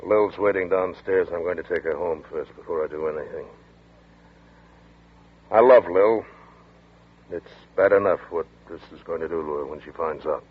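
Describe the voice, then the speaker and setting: An actor in a 1940s radio drama playing a cool, controlling man who speaks low and clipped. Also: normal speed, pauses often, husky. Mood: controlled. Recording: tinny old radio broadcast with faint hiss.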